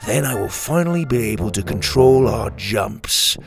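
A man's voice: medium pitch, lively intonation, moderate pace, creaky, music in the background. A man voicing a villainous pirate captain for a story, commanding, scornful and menacing. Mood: scheming, defiant.

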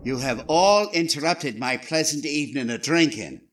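An actor playing a tough, commanding 1920s Chicago boss in a period radio drama, speaking forcefully. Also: male voice, a little high, expressive, moderate pace, noisy background, natural voice.

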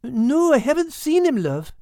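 A man doing a high, folksy tomcat. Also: very animated, unhurried, dark tone, no echo, studio quality, full character voice. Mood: cheerful. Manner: homely folksy talk, calls her "love" and "lass". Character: cheerful, affectionate male cat, proud warrior. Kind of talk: storybook reading.

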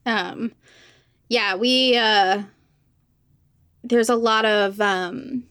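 Higher-voiced young woman host, wry and dry-miked. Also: extremely slow, a bit bright, clean tone, no echo. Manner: informal, wry, emphatic. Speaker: podcast host, interviewer. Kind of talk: casual opinionated chat.

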